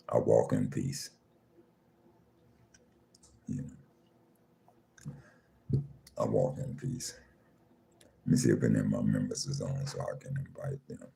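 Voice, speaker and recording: A man with a very deep voice hosting a live chat room, calmly greeting listeners one by one and talking in a style. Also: creaky, a little hiss or hum. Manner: slow, calm, repeats himself, reads names off a screen.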